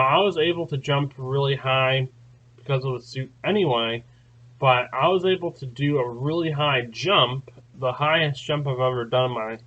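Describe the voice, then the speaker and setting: A man's voice: mid-range voice, fairly bright tone, highs cut off. A man hosting his own channel, telling a long story in a rambling, casual way, full of fillers and restarts. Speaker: channel host. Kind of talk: casual spoken recounting.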